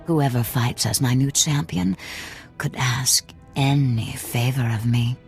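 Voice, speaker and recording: A woman playing a languid, sadistic crime boss in a video game, with a purring, teasing, drawn-out delivery that drops into whispers. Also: very deep voice, creaky, a little mellow, faint music behind, full character voice. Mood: teasing, intrigued. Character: sadistic, languid crime boss lady who savors cruelty.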